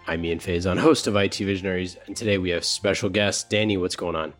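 A man with a very deep voice hosts a podcast interview. He greets his guest in an upbeat, welcoming way while faint music plays underneath. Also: quick pace. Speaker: podcast host.

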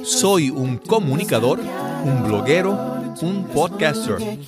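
A man's voice: on the high side, very animated, takes it slowly, over loud music. A man hosting a podcast, introducing and interviewing in a conversational way. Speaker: podcast host.

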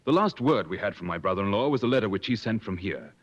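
An actor playing an earnest, insistent English gentleman in a 1950s radio drama, polite but persistent. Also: fairly low pitch, expressive, fast talker. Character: earnest, insistent English gentleman seeking a lost brother-in-law. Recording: muffled old radio broadcast.

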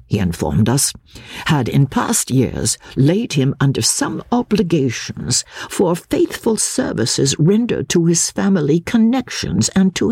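A woman reading a classic novel dramatically as a formal, judicious Victorian male lawyer, with deliberate, expressive phrasing. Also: rumbling low, breathy edge, dry recording, full character voice.

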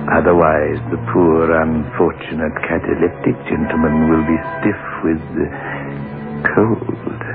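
An actor playing a sinister, mocking horror show host in a 1960s radio drama, drawing out his words sardonically. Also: exaggerated voice. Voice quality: creaky, deep. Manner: slow, drawn-out, sardonic. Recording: tinny old radio recording with music.

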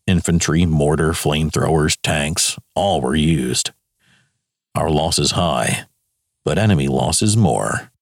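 A man's voice: rumbling low, dry recording, clean studio sound, exaggerated voice. A man with an American accent playing a stern wartime general, reading his logbook in clipped, grave military phrases. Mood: grim, resolute.

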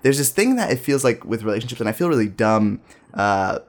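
A man's voice: mid-range voice, very animated, brisk. A young man from Ohio, telling a rambling personal story in a casual, self-deprecating way. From Dayton, Ohio, US.

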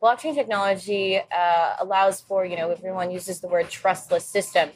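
Measured woman explaining as founder. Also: lowish voice, steady tone, slightly rough, rough recording. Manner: measured, explanatory, some fillers. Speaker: founder and CEO, interview guest. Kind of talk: interview answers.

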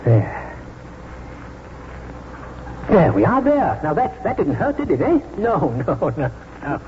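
An actor playing a kindly, weary old British country doctor in 1940s radio drama, speaking in a gentle, measured, reflective way. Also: male voice, a little high, huge pitch swings, a little hiss or hum, heavily acted. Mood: gentle, coaxing. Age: older. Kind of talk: 1940s radio drama acting, first-person narration. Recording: muffled 1940s radio broadcast, music behind.

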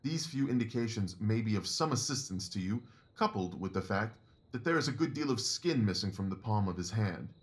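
A man playing a shrewd, commanding Victorian gentleman detective in an audio drama, precise, assured and dryly superior. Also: on the low side, expressive, brisk, slightly rough. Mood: assertive.

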